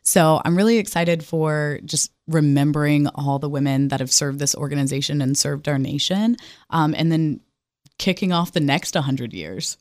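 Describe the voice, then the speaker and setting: Young woman chatting, low even-pitched voice. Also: normal speed, dry recording, studio quality. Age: young adult. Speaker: pageant titleholder guest. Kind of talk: chatty radio interview.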